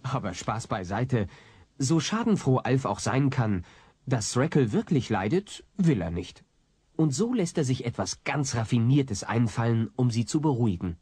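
A man's voice: mid-range voice, animated, moderate pace, dark tone, breathy edge, no echo. A man narrating a comedy audio drama as a scripted storyteller.

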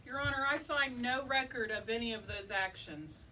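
An actress on a church stage playing a heavenly court assistant who formally calls names and reports records. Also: female voice, fairly high pitch, normal speed, sharp tone, a little hiss or hum. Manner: formal, announcing. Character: brisk female court assistant angel. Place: church stage set, indoors. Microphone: distant camera mic. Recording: distant stage voice.

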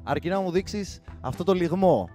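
A man speaks into a handheld mic on a studio stage. His voice sits a little high and he banters casually, with music clearly playing behind him. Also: lively intonation. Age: 20s. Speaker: talent show contestant. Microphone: handheld microphone held close.

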